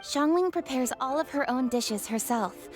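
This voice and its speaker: A woman's voice: high voice, expressive, moderate pace. A woman voicing a composed young female judge in a video game, speaking formally and articulately over music. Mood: composed, explaining. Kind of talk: English video game voice acting.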